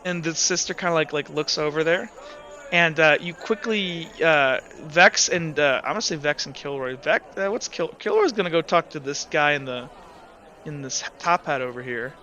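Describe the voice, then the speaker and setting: A man narrating a tabletop game as game master, halting often with fillers while he describes the scene. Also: a little high, sharp tone. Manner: rambling, halting with many fillers. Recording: dull lo-fi mic.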